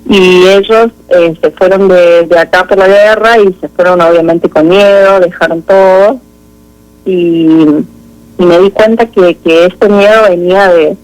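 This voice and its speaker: A woman with an Argentine Spanish accent giving a halting, introspective personal account as an interview guest. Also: fairly low pitch, poor audio.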